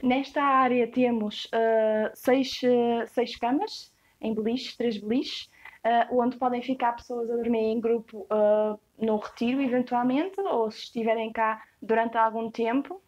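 A young woman with a European Portuguese accent gives a casual walkthrough tour of a rustic stone farmhouse. She describes each space in a relaxed way, with frequent hesitations. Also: on the high side, a little slow, no echo. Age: young adult. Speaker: property tour guide.

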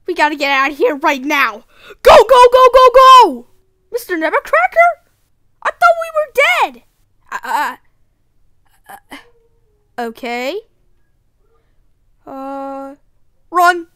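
A woman plays an excitable, wide-eyed kid from an adventure game. The voice is extremely high and bright, swinging up and down in animated exclamations. It is easily panicked and keeps a slow, drawn-out pace. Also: no echo, heavily acted. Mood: alarmed, worried. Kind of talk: video game voice lines.

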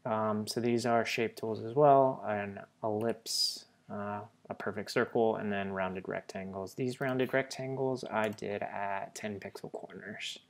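Male tutorial presenter, low register, casual and explanatory, informal voice-over delivery. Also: steady tone, a little slow, hardly any pauses. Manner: informal, explanatory, thinking aloud. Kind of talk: casual software tutorial narration.

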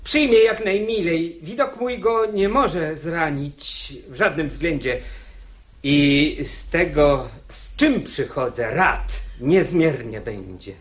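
Oily old court bailiff in a radio play, super polite n officious, lilting up and down, drags it out. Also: male voice, a little high, animated, slow pace. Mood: oily courtesy. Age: older. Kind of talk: theatrical radio drama acting in verse.

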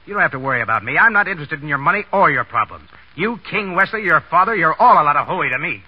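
A man's voice: on the high side, lively intonation, fast, slightly rough. An actor playing a blunt, bossy, cocky man with slangy scolding talk in 1930s radio drama style. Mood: scornful. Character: brusque, cocky, bossy young wisecracking man. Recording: muffled 1930s radio broadcast.

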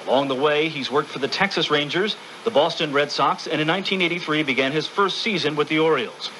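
A man's voice: on the high side, fast, bright, forceful tone, a little hiss or hum, low-quality recording. A man reading scripted narration for a radio documentary.

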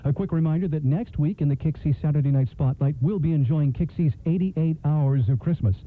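A man's voice: fairly high pitch, fairly quick. Male radio host on narrow-band AM. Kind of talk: scripted broadcast continuity announcing.

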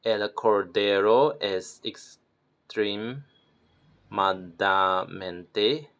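A man from Taiwan, practicing pronunciation slowly and repeating words as he corrects himself. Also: on the low side. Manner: slow, repeats words, self-correcting with soft laughs. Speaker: language learner. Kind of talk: self-recorded pronunciation practice.

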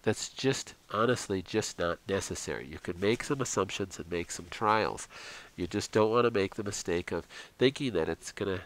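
Middle-aged male lecturer, low-pitched, earnest and instructive, close-mic voice-over. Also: moderate pace, a bit bright, poor audio. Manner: earnest and instructive, frequent fillers. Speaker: chiropractor presenting a health program. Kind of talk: slideshow health lecture voice-over.

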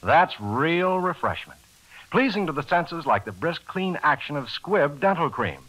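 A man's voice: on the high side, very animated, a little slow, fairly bright tone, poor audio. A man with an American accent, a polished announcer reading a 1940s radio commercial with emphatic salesmanship.

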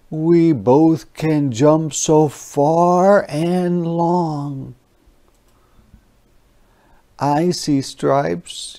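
A narrator reading a children's picture book aloud in an expressive, deliberate, dramatic way. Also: medium pitch, creaky.

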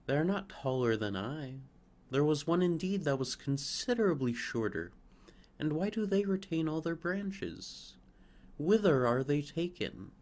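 A man's voice: fairly high pitch, sing-song, unhurried, darkish tone, pitched above the performer's own voice. A man voicing a restless young fir tree that yearns to be grown and carried off, speaking in eager exclamations. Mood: yearning. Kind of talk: storybook reading.

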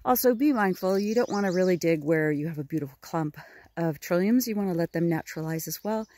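A woman's voice: on the low side, no echo. Middle-aged woman narrating a foraging walk outdoors; easy, admiring delivery, phone mic, birds in the background. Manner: gentle, admiring, unhurried explaining. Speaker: forager showing her land. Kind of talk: casual outdoor how-to narration. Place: spring woodland outdoors by a river. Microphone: phone camera held at arm's length.

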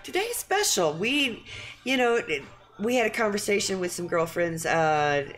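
A woman's voice: medium pitch, very animated, measured pace, fairly bright tone, faint music behind. A middle-aged woman co-hosting a casual studio chat, talking in a chatty, easygoing way. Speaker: co-host. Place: small studio with green-screen set.

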